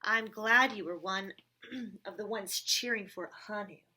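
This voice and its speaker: Encouraging storybook dad, read by a woman, husky. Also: mid-range voice, a little slow, rough recording. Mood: proud, encouraging. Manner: gentle, encouraging. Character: kind, supportive, encouraging father. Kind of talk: children's storybook reading.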